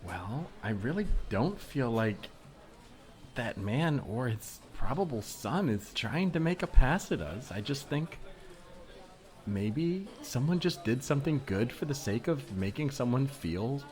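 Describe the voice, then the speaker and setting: A man's voice: mid-range voice, sing-song, unhurried, darkish tone. A man playing a needy, over-eager young woman in improvised comedy, talking in a hesitant, rambling, awkward way. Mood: flabbergasted, grateful.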